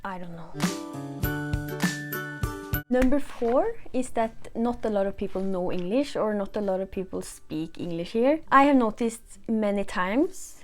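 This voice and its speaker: A young woman from Norway talks casually to her camera, her speech full of "like" and "you know". Her pitch sits in the middle, neither high nor low. Faint music plays softly behind her. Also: expressive, a little slow. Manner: chatty, many fillers like "like" and "you know". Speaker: vlogger.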